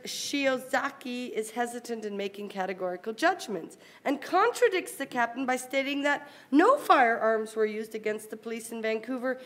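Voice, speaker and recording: A woman speaks as a conference presenter, reading a formal paper aloud. Her voice sits a little high, and she moves through long sentences in a measured, carefully articulated way. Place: university conference talk.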